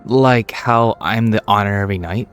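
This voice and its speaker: A man playing a calm, dry-witted young traveler hero in a video game, with laid-back, deadpan teasing. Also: on the low side, a little slow. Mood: curious. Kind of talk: game dialogue acting. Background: soft background music.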